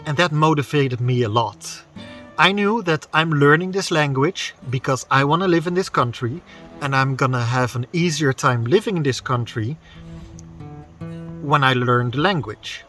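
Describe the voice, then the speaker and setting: A man's voice: medium pitch, moderate pace, a bit bright, soft background music. A man in his thirties from the Netherlands with a Dutch accent, talking casually to camera outdoors in the woods and explaining his thoughts as he goes. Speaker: vlogger.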